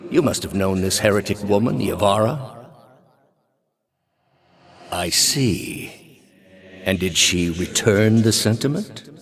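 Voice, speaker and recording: A man playing a stern, zealous high priest and inquisitor in a video game, speaking in a measured, formal, quietly menacing way. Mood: cold, probing. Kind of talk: dramatic video game character dialogue.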